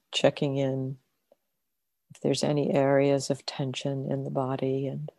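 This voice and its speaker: A woman meditation teacher guiding a meditation gently and unhurriedly, in short phrases with long pauses. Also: rumbling low, vocal fry, dry recording. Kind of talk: guided meditation.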